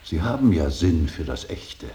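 An actor playing a stern, earnest older painter and professor in a radio play, preaching duty with emphatic, deliberate repetition. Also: male voice, big pitch swings, warm tone. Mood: earnest, admonishing. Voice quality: gravelly, very deep. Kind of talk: German radio play acting. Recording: hissy radio drama recording.

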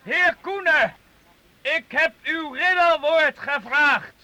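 Brave young medieval boy, very high sharp voice, polite and earnest, radio drama. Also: slow pace, no room sound, full character voice. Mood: resolute. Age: young adult. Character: earnest, brave young medieval lad, respectful to his masters. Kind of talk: Dutch radio play acting.

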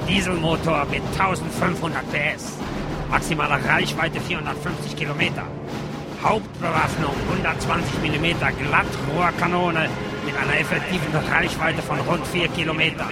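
A male narrator with a fairly high voice reads scripted documentary copy. Loud music runs underneath the whole delivery. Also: steady tone, normal speed. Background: loud music bed.

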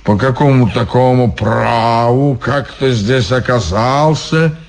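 A man voicing a drunken male monk who quarrels indignantly in slow, drawn-out phrases. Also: medium pitch.

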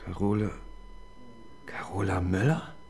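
A man's voice: low-pitched, fairly even intonation, unhurried, gravelly, darkish tone. An actor playing an awkward, flustered male police assistant in a radio crime drama, stammering through his replies.

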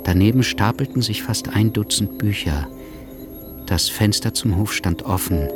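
A man narrating a radio drama in a measured, sober, scripted style. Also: deep voice. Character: sober male narrator of a political assassination drama. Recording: music behind the voice.

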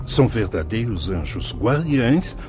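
A man's voice: fairly low pitch, sing-song. An actor in a radio drama playing a kindly, solemn spirit mentor who speaks in a formal, fatherly, preaching way. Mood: tender, fervent. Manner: measured, paternal, preacherly phrasing. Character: kindly solemn spirit mentor, brother Anselmo, paternal guide. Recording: music behind the voice.

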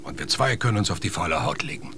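Lively, stern expedition man. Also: deep voice, quick pace, noisy background. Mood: dry, resigned. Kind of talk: radio drama acting. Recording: faint background ambience.

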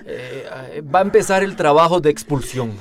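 A man's voice: a little high, normal speed, crowd noise, natural voice. An actor playing a nervous, hesitant man delivering a baby in a radio soap, stammering with many false starts. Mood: hesitant, instructing.

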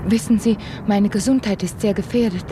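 An actress playing a wistful, dreamy and fragile young woman in a German radio drama, musing intimately and haltingly. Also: medium pitch, fairly even intonation, noisy background, close to an everyday voice. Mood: wry, fragile.

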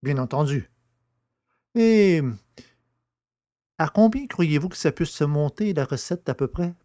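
An actor playing a stubborn, naive village poacher, protesting and eager, in a French audio drama. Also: male voice, on the high side, animated, a little slow, warm tone. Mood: eager, hopeful. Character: wrongly jailed village poacher, stubborn, naive, easily flattered.

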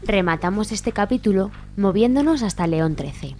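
A woman's voice: mid-range voice, expressive, normal speed, warm tone. A woman with an accent from Spain, reading scripted narration for a radio serial. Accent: Spanish, from Spain.

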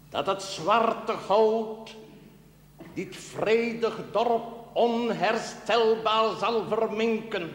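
Solemn slow-speaking pastor in echoing church. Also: male voice, sits high. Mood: grave, foreboding. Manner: solemn, measured, rhetorical. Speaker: actor in a TV drama series. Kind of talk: formal sermon in television drama. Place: large reverberant indoor space. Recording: echoey church-like reverb with background noise.

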